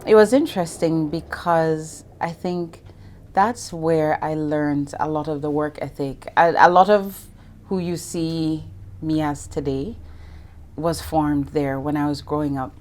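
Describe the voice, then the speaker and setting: Casting note: middle-aged woman from Jamaica, low voice, reflective interview guest. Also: steady tone, unhurried, darkish tone. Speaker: guest, banking executive. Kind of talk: interview conversation.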